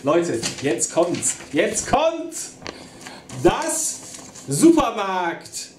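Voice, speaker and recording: Man, 30s, co-host, high voice, very animated pitch, teasing, slangy, echoey workshop, faint music. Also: extremely slow, very noisy. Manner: excitable and teasing, with frequent laughter and slangy outbursts. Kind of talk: casual chat. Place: small hobby workshop indoors.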